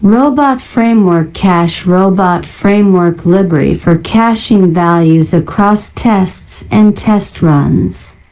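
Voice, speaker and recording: A woman narrator doing a deliberate ASMR-style read-out of listed items in a flat manner. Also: fairly low pitch, rough recording. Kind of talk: ASMR reading of a list of software libraries.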